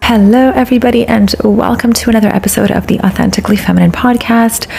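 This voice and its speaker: A woman's voice: fairly high pitch, steady tone, quick pace, creaky, darkish tone, faint music behind. A woman hosting a dating advice podcast, speaking in an encouraging coaching tone while stuffy from a cold. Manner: encouraging, coaching tone with repeated phrases. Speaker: podcast host.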